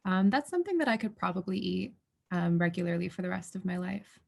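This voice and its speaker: A woman's voice: on the low side, brisk, gravelly. Woman, video call, chatty, loose, lots of "like", casual share. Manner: chatty, loose, with many likes. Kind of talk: casual online group check-in.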